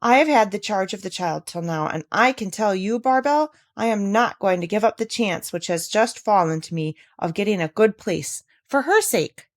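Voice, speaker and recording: A woman's voice: medium pitch, expressive, normal speed, few pauses, no room sound, clean studio sound, close to an everyday voice. A woman voicing a self-interested, knowing young village woman in a storybook, firm and matter-of-fact. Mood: firm, defensive. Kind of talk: storybook character reading.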